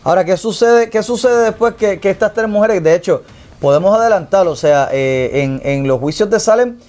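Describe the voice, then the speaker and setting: A man co-hosting a casual panel podcast, talking in an animated way with many fillers and restarts. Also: high-pitched, moderate pace. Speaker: podcast co-host.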